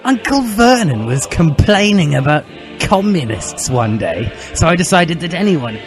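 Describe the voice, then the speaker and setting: A man voicing a self-righteous teenage communist zealot in a comic dramatic reading, indignant and emphatic with drawn-out words. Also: on the high side, sing-song, music in the background, voice pushed up. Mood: proud.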